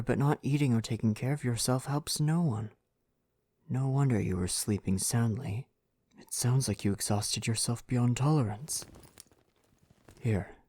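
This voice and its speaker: A man playing a tender, devoted prince in an intimate ASMR roleplay, soothing and formal in his phrasing, with a breathy voice that often drops to a whisper. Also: medium pitch, dark tone, no echo, studio quality. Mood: gently concerned. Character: tender, devoted prince fiancé back from war.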